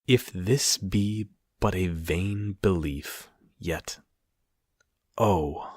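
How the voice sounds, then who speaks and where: Very slow, deep, husky man reciting poetry. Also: a little mellow, dry recording, clean studio sound. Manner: measured, pausing between short phrases. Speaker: poetry reader. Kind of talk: solemn recited poetry reading.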